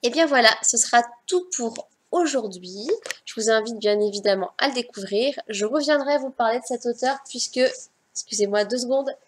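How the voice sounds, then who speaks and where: A woman's voice: a little high, moderate pace, a little hiss or hum. A woman chatting directly to her viewers as she reviews a book on her channel, correcting herself as she goes. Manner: self-correcting, scattered. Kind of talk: casual book review vlog.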